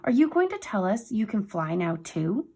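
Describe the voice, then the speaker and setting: A woman voicing a fussy, easily flustered male mouse who gets indignant and shouts when upset. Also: medium pitch, sing-song, moderate pace, slightly rough, soft background music. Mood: exasperated.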